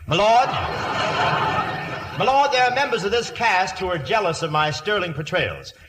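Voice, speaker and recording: A cheeky ham actor turned butler in a vintage radio comedy. The voice is bright and fairly high, theatrically animated and full of conceit. The old broadcast has a faint hiss. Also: male voice, moderate pace, rough recording. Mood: boastful. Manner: theatrical, wisecracking. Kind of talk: 1940s-1950s radio comedy acting.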